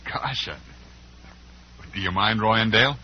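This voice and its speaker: A man's voice: on the low side, measured pace, close to an everyday voice. A man playing a humble, apologetic father in an old-time radio western. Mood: apologetic, hushed. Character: humble, apologetic, grateful father, a ranch guest. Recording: muffled, noisy vintage radio recording.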